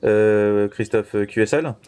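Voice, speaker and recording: A man chatting casually as a radio operator, halting and reassuring, often tagging his phrases. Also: on the low side, background noise. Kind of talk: casual two-way radio chat.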